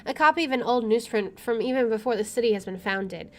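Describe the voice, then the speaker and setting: A woman's voice: on the high side, fast. A woman playing through a game, reading its narration aloud with wry, sweary casual commentary. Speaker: let's player.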